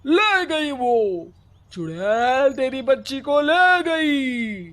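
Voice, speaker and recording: A man voicing a scheming fake village holy man in a cartoon, who speaks in slow, ominous pronouncements and later stammers. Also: very high voice, sing-song, fairly bright tone, full character voice. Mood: menacing. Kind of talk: cartoon voice acting.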